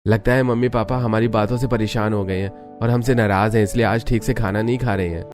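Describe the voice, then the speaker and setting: A performer playing the outspoken grown child of a bickering family in a cartoon, expressive and emphatic. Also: medium pitch, a little fast, music in the background, lightly acted. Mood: concerned. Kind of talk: Hindi family cartoon voice acting.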